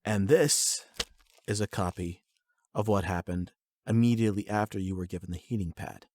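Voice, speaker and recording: A man plays a composed trauma-center doctor in an audio roleplay. His voice sits fairly low, with polite, measured explanations and a patient clinical tone. The sound is dry and free of room echo. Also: a little mellow, lightly acted. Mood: clinical, explanatory.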